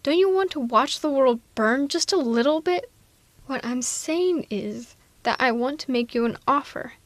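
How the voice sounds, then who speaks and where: A woman's voice: high voice, animated, measured pace, no echo. A woman playing a sardonic but protective villainess in an intimate ASMR audio roleplay, coaxing one listener in a wry, persuasive way. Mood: conspiratorial, goading.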